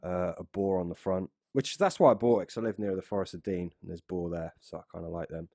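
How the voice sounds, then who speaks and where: A man's voice: low-pitched, fast, dark tone, no echo, clean studio sound. A British man hosting a casual interview in a matey, informal way. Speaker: podcast host.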